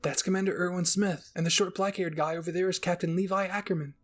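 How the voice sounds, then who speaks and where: Man putting on an exuberant, high-pitched gushing woman. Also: fairly even intonation, quick pace. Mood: gushing, excited. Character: exuberant adult woman officer, gushing and affectionate. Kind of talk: anime fan-fiction roleplay reading.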